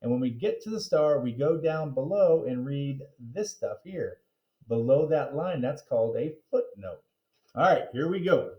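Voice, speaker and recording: A man giving an animated read-aloud of a children's chapter book, expressive, drawing out words and throwing in interjections. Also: medium pitch, moderate pace, lo-fi sound. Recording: roomy, echoing sound.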